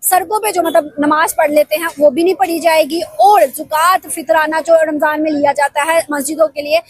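A young woman speaks in a very high voice. She is doing street interviews, putting questions to passers-by in a conversational reporting style. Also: fairly even intonation, moderate pace, no echo. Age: young adult. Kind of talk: vox pop interview. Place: busy market with clothing stalls.

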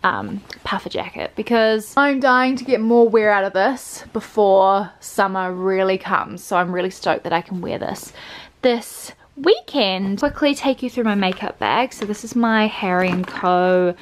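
A woman's voice: mid-range voice, unhurried. Kiwi girl in her 20s vlogging from her bedroom, bubbly, lots of ums, camera close up. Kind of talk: casual chatty vlog talk. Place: bedroom at home, indoors.

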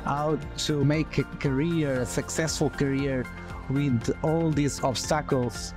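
Middle aged guy doing a promo interview, slow n halting, medium pitch, music behind him. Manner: measured, halting phrasing. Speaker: doctor, interviewer. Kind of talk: promotional interview, addressing camera. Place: large open hospital lobby, indoors, seated on sofa. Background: background music.

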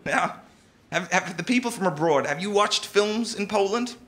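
High-voiced stand-up comedian on stage. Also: male voice, expressive, moderate pace. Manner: conversational, filler-heavy. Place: theatre stage. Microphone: handheld mic. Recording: live comedy clip with crowd noise.

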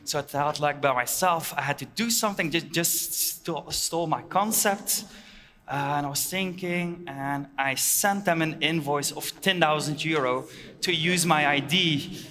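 A man gives a conference keynote on a headset mic. He speaks plainly and haltingly, with many filler uhs. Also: a little high, bright, forceful tone. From Belgium. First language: Dutch. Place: large hall stage. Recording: long reverb of a large hall.

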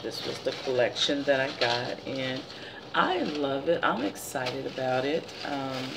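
Deep gravelly woman chatting at home. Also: a little slow, background noise. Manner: chatty, animated storytelling. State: tired voice. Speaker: beauty vlogger. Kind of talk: casual product haul chat. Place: living room by window blinds, indoors.

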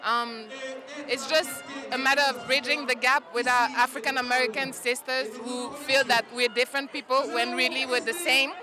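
A woman's voice: high-pitched, fairly even intonation, normal speed. An enthusiastic young woman giving a heartfelt interview answer outdoors. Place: crowded outdoor festival. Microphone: handheld interview microphone. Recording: music and crowd behind.

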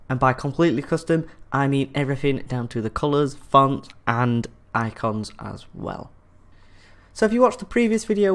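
A man presenting a screencast tutorial in a casual, friendly instructional way. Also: on the high side.

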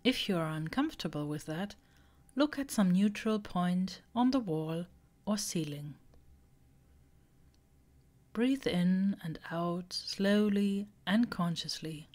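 A woman reading a guided meditation, giving slow, deliberate instructions in short phrases. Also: lowish voice, animated, a little mellow. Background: relaxing background music.

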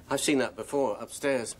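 An older man with an English accent playing a wary, dry, grudgingly polite father in a naturalistic television drama, speaking with hesitant understatement. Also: mid-range voice.

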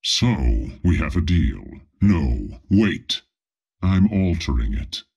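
Dark armored space lord for a game: husky, unhurried, imperious, drily pompous menace. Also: male voice, a bass voice, highs cut off, heavily acted. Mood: scheming. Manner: slow, imperious, pompous, with deadpan comic asides. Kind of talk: video game character voice lines.

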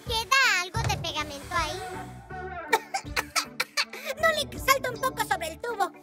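A performer voicing an excitable, giggly little cartoon fixer kid with an exuberant, gushing manner in an animated children's dub. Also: very high voice, a little slow, very echoey, far from the mic, over loud music. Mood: gleeful.

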